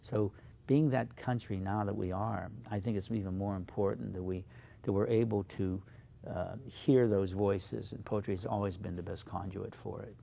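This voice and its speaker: A man whose first language is Slovak, a poet guest giving thoughtful, halting interview answers with many fillers. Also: fairly low pitch, steady tone, gravelly, warm tone, a little hiss or hum.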